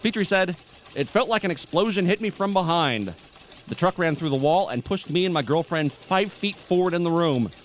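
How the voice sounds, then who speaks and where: A man co-hosting a radio show, reading odd news stories and adding dry, deadpan asides. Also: high-pitched, moderate pace, slight background noise. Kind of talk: reading news items with banter.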